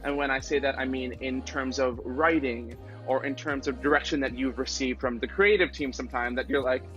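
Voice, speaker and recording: A man's voice: mid-range voice, brisk, a bit bright. A man hosting a talk show, addressing his guests in a conversational way. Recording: dull lo-fi sound, music behind.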